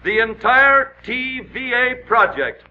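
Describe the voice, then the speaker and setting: A man's voice: very high-pitched, animated, slow. An American man narrating a 1930s newsreel with dramatic, emphatic announcer delivery. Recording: scratchy, muffled 1930s newsreel soundtrack.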